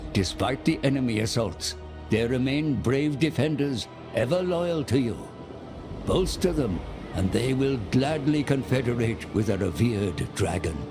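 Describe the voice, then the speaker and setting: Slow, portentous old sage of fantasy. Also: male voice, mid-range voice. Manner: grave, portentous. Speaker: narrator and adviser character. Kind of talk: theatrical game cinematic narration.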